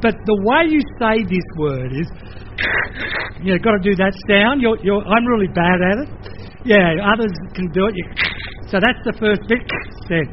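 Older aussie guy giving a sermon, pretty high voice, chatty and playful, bit muffled with faint noise. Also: normal speed. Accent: Australian. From Australia. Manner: animated, conversational, playful asides. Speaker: pastor.

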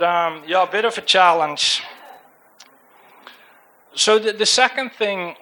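A man's voice: on the high side, a bit bright, rough recording. A young man with a Dutch accent giving an informal church talk about a mission trip, hesitant with many fillers and restarts. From Netherlands. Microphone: handheld microphone.

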